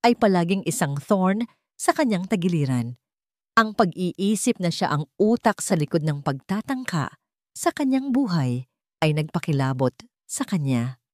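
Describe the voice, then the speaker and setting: A Filipino woman narrates a dramatic audio story from a script. Her voice sits fairly low and moves through very lively, animated intonation. The sound is dry and close, with no room around it. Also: no room sound.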